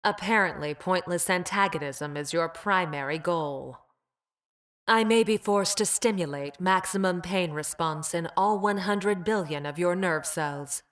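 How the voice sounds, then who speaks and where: A coldly logical android scientist from a video game, played by a woman. Her female voice sits on the low side, and she speaks in formal, exact phrases. She is detached and clinical, a former healer with a ruthless streak. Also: unhurried, lightly acted. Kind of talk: video game character voice lines.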